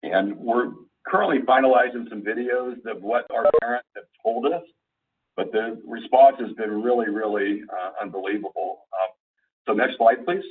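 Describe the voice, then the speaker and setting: A man giving an informal slide presentation over a conference call line, explaining things in a halting, deliberate way. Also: lowish voice, husky, recorded from a distance, narrow, tinny audio. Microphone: conference call phone line.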